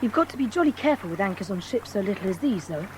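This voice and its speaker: A woman's voice: medium pitch, lively intonation, very fast, slight background noise, close to an everyday voice. A woman playing a brave, earnest schoolboy with an urgent manner in an audiobook drama. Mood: concerned.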